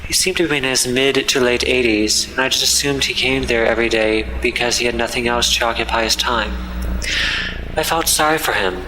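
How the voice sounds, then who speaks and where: A man's voice: medium pitch, fairly quick, sharp tone, rough recording. A man reading a scripted first-person horror story as its narrator.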